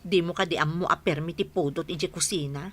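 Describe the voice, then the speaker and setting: A woman's voice: lowish voice, fairly even intonation, a little fast, natural voice. A woman playing an eager, nosy young single friend in an Ilocano-accented radio comedy-drama, exclamatory and teasing. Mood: chatty.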